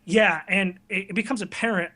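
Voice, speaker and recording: A man's voice: sits high, a little fast, crowd noise. A man talking casually as a podcast guest, telling candid, self-deprecating stories in a conversational way. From US tri-state area. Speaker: podcast guest, online video creator.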